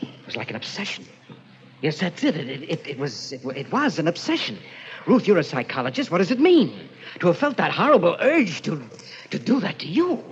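An actor playing a tormented, obsessed newlywed husband in an old-time radio thriller, halting and repeating himself with melodrama. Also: male voice, fairly high pitch, sing-song. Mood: agitated. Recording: dull, lo-fi, faintly noisy vintage radio recording.

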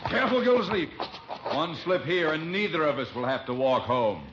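An actor playing a pompous, sarcastic, excitable gentleman in old-time radio comedy style. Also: fairly high pitch, normal speed. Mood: brisk. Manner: pompous, sardonic, melodramatic. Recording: dull old radio recording, some noise.

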